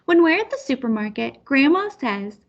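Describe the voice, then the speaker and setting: A woman's voice: high-pitched, very animated, normal speed, muffled recording. A woman reading a children's picture book aloud as a storyteller. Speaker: storybook reader.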